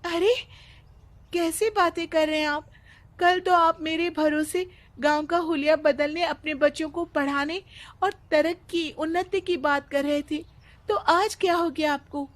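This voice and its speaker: A woman's voice: high-pitched, steady tone, unhurried. A woman voicing a young village girl in a cartoon, pleading and reproachful as she speaks. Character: bewildered young village girl, earnest and hopeful.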